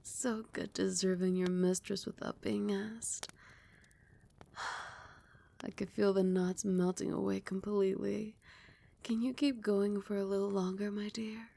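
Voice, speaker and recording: A woman voicing a doting, commanding vampire queen in an intimate ASMR roleplay, with formal, coaxing speech and a breathy voice that often drops into whispers. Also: medium pitch, flat delivery, mellow. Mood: pleased, tender. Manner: slow, formal, coaxing pet names.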